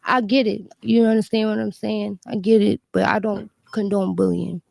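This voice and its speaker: Defensive audience member, woman, very gravelly voice, dry sound. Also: mid-range voice, little pitch movement, moderate pace, darkish tone, no room sound. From Philadelphia. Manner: defensive, insistent.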